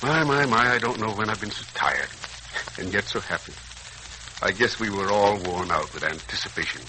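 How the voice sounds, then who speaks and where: An actor playing a kindly, folksy older family friend in a theatrical 1940s radio serial style. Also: male voice, on the low side, lively intonation, moderate pace, pushed and bright, poor audio. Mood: kindly, contented. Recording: hissy old radio recording.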